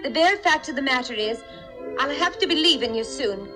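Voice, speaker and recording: A woman's voice: sits high, expressive, brisk. An actress playing a chatty, kind-hearted young working woman in a 1950s radio drama, effusive and earnest. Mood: earnest, apologetic. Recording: vintage radio recording with music.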